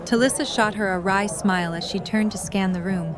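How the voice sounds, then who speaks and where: A woman's voice: medium pitch, brisk. A woman reading scripted story narration as the narrator of an audio drama. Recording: music and crowd chatter behind.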